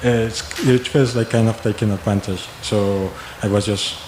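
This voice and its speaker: A man's voice: lowish voice, steady tone, moderate pace, a little mellow. A man in the audience asking long, rambling questions at a talk, with many fillers. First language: not German. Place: conference room. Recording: echoey hall, faint noise.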